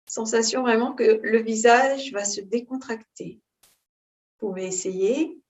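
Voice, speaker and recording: A woman teaching yoga, giving calm, unhurried and soothing guidance on posture and breathing. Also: a little high. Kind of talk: guided yoga and breathing instruction.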